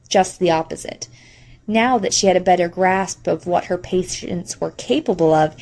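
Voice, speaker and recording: A woman's voice: lowish voice, a little mellow. A woman reading scripted narration of a novel as an audiobook narrator.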